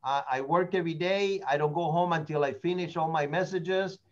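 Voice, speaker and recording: Older man opinionated trainer with high voice. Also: fairly quick, dull audio. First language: not English. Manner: opinionated, digressive, repeats words. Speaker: real estate trainer. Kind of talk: rambling training talk. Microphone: meeting recording.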